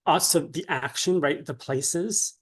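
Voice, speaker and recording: Man, slightly high voice, interview guest, halting delivery, conversational explaining, frequent "right?" check-ins. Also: slightly rough.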